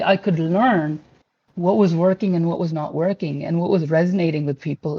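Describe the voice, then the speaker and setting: A man's voice: high-pitched, darkish tone. Rambling man with muffled call audio. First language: Pashto. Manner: earnest and rambling, with frequent restarts and filler words. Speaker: guest speaker, principal engineer. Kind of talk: casual online talk, telling his career story.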